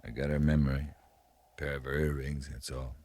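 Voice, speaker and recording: An actor playing a weakened American cavalry captain in a 1950s radio western, terse and halting, commanding but worn out, with dry humor. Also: male voice, rumbling low, level tone, darkish tone, no echo. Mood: subdued. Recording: noisy vintage 1950s radio recording.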